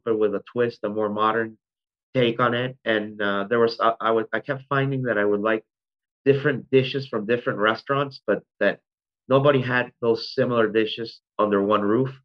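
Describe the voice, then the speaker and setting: A man's voice: medium pitch, steady tone, normal speed. A man in his forties from Venezuela, a restaurant founder telling his story in an interview, rambling with many ums and self-corrections. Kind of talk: conversational interview. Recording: dull lo-fi sound.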